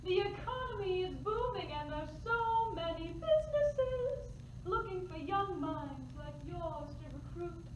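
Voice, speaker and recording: A motherly swan, acted by a woman in a comic stage fantasy, with an extremely high voice. She coaxes and disapproves in a sing-song lilt, heard from a distance in a roomy space. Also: big pitch swings, unhurried, few pauses, full character voice. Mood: gently coaxing. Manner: sing-song, coaxing delivery. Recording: roomy, distant stage recording, faint noise.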